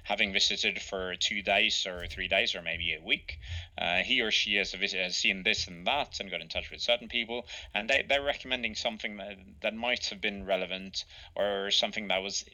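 A man from Norway, a travel author answering interview questions in a halting way, full of fillers and restarts. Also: on the low side, bright, forceful tone, rough recording. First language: Norwegian. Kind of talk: conversational interview.

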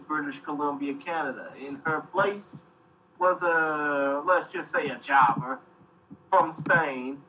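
A man's voice: a little high, sharp tone, recorded from a distance, dull audio. A man co-hosting a casual podcast chat, swearing freely with dry teasing. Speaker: podcast co-host.

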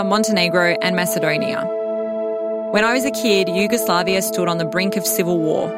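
A woman in her thirties, raised in Serbia, calmly telling her own story in a reflective, wry tone. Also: mid-range voice. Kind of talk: scripted personal narration. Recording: music bed underneath.

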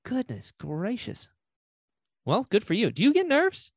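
A soft-spoken man chatting casually as a podcast host. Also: high voice, wildly swooping pitch, a little slow, slightly rough, dry recording, clean studio sound. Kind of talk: casual chat.

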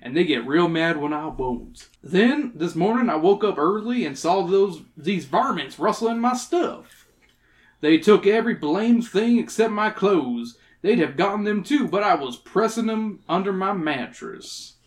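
Folksy, stubborn old male prospector voiced by a storybook reader, smug and rustic, with swooping pitch. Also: animated, measured pace, close to an everyday voice. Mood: indignant. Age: older. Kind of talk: reading a storybook character aloud.

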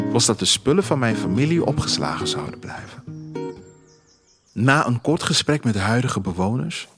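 A man narrating a scripted first-person audio drama in a measured, reflective storytelling manner. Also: mid-range voice. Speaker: author reading own story. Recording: music under the voice. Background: music bed.

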